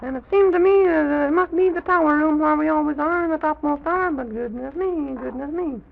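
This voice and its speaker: A performer playing a quaint, rambling, excitable little old gentleman in an old children's radio serial, with a high, creaky voice. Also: brisk. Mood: wondering. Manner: rambling, repetitive, exclaims "goodness me". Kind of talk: 1920s-30s children's radio serial acting. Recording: tinny, noisy old radio recording.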